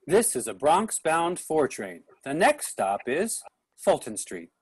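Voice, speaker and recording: A man's voice: on the high side, big pitch swings, slow pace, husky, no room sound, heavily acted. A man playing an automated male subway announcer in a play reading, with formal, procedural delivery.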